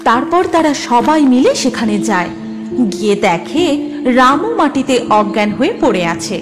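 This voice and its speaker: A woman with a high, very lively voice narrates a children's tale. Her delivery is scripted and dramatic, with clear music playing behind her. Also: moderate pace.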